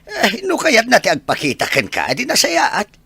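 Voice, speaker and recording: Man acting a gruff, raspy old Ilocano husband, wildly swooping. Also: extremely high pitch, wildly swooping pitch, normal speed, pushed and bright, no echo, exaggerated voice. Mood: dismissive. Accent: Ilocano Filipino. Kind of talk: Ilocano audio drama acting.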